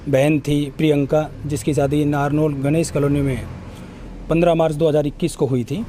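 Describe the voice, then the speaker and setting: Male villager, high-pitched voice, earnest and insistent interview testimony, faint outdoor noise behind. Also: flat delivery, fairly quick, dark tone. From Haryana, India. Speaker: villager interviewed by local news. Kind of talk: on-camera news interview testimony.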